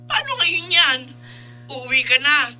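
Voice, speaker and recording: An actress playing a concerned, practical middle-aged mother, briskly giving advice in a radio drama. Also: high-pitched, big pitch swings, pushed and bright, exaggerated voice. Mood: concerned, advising. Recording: music under the voice. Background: music.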